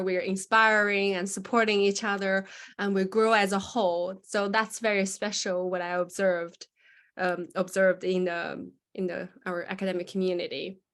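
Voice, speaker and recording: Woman explaining admission rules. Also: medium pitch. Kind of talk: explaining requirements in online meeting. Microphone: video call.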